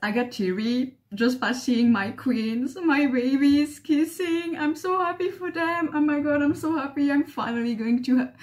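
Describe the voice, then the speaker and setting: A woman's voice: sits high, normal speed, few pauses. A young woman, not a native English speaker, gushing and swearing through her reaction at home, recorded by webcam. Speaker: trailer reactor. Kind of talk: excited reaction commentary. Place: living room with bookshelves. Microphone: webcam at desk, headphones on.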